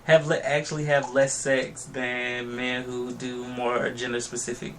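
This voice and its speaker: A man co-hosting a casual talk show, asking questions and reacting with animated laughter. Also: medium pitch, unhurried. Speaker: co-host. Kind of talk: casual group discussion. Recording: distant mic with faint noise.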